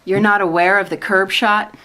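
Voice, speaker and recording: A woman's voice: medium pitch, steady tone, normal speed, bright, forceful tone, close to an everyday voice, low-quality recording. A woman playing a knowing, skeptical government colleague in a sitcom radio play, dry and insistent.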